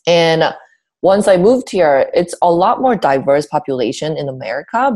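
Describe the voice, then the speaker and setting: Low-voiced woman from South Korea. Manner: relaxed, chatty, with frequent fillers like "um" and "like". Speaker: startup founder and guest. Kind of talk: casual interview answers.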